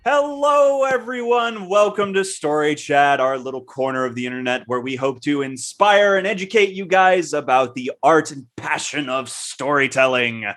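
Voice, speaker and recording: A man's voice: fairly high pitch, expressive, normal speed, hardly any pauses, a bit bright. A man hosting a casual podcast on storytelling, asking friendly questions with many hesitations and fillers. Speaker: podcast host.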